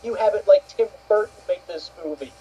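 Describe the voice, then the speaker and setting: A man with a high but dark voice that is very husky and rough. He chats casually and sarcastically as a co-host, in slightly dull lo-fi sound. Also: brisk. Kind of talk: casual chat.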